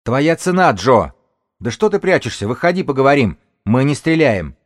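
A man's voice: on the low side, animated, moderate pace, no room sound, clean studio sound, natural voice. A man as a bold, commanding young woman. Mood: defiant, shouting. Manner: shouts challenges, blunt and taunting.

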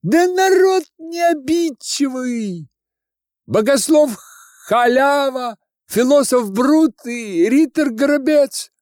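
Man putting on a high, wheedling young student. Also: lively intonation, slow pace, a little mellow, no echo, clean studio sound, exaggerated voice. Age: young adult. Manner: pleading, wheedling, high-pitched calling out. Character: cheeky, hungry young male seminary student, wheedling.

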